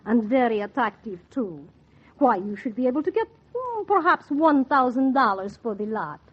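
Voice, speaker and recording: Haughty high-voiced Frenchwoman, old radio. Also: very animated, moderate pace, breathy edge. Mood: mocking, disdainful. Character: haughty, amused French woman fur buyer. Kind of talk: 1940s radio acting. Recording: dull vintage 1940s radio recording.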